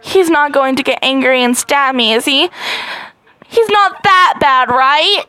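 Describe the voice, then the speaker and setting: A high-pitched woman reads a story game aloud, in an excitable and dramatic way. Her delivery is slow and deliberate, with sudden squeaky rises. The recording is close and dry. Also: dry recording, rough recording. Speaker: gaming channel host.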